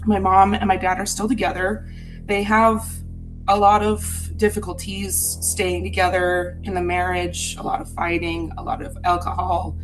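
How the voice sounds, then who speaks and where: Earnest woman from Utah, fairly low voice. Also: level tone, normal speed, faint music behind, low-quality recording. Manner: earnest, candid, reflective. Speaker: storyteller. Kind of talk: personal ghost story told in a podcast.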